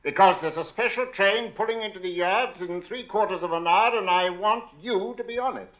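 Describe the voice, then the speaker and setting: An American man playing a brisk, generous well-to-do father in 1940s radio drama style. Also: high-pitched, natural voice. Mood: brisk, kindly. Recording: muffled 1940 radio broadcast.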